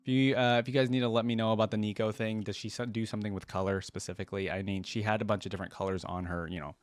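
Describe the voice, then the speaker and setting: A woman with an extremely low voice gives casual reaction commentary on a game as its host. She sounds inquisitive and thinks aloud, in a dry, close recording. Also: steady tone, racing, darkish tone, no echo. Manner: musing, inquisitive, often checking with "right?".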